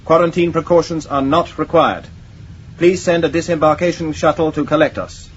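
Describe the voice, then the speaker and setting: An actor playing a forceful, impatient young starship commander in a science fiction radio drama, formal and clipped. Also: male voice, a little high, steady tone, moderate pace, close to an everyday voice. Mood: formal, urgent. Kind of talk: 1980s British radio science fiction acting. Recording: dull, faintly noisy old radio recording.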